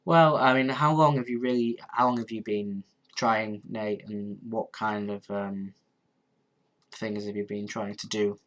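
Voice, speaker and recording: A man, chatting casually on a live stream and answering comments. His nose is blocked and sniffly, and he rambles, pausing on ums and restarting his sentences. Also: lowish voice, fairly even intonation, a bit bright, lo-fi sound. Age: 20s. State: congested, sniffly.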